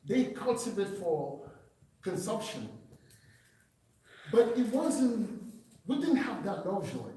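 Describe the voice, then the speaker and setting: A man's voice: sits high, big pitch swings, takes it slowly, pauses often, warm tone, slight background noise. A man from Cameroon, giving an earnest, emphatic personal testimony speech at a meeting. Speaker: public speaker. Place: meeting room. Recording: echoey hall, distant mic.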